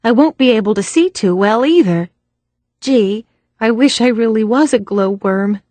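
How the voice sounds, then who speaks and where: A woman's voice: fairly high pitch, moderate pace, warm tone, no room sound, voice pushed up, close to an everyday voice. A woman voicing a plucky young American woman who talks earnestly and a little anxiously.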